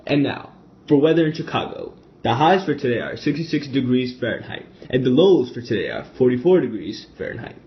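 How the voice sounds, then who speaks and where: A man's voice: medium pitch, big pitch swings, brisk. A man playing a formal, earnest 1920s radio speaker in a scripted broadcast, on a dull lo-fi recording. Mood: announcing. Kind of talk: scripted amateur radio broadcast role-play.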